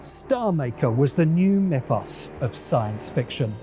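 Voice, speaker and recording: A man's voice: a little high, very animated, slow, warm tone, soft background music. A man hosting a science fiction channel, giving a scripted video essay narration in a dramatic, musing way with dry asides.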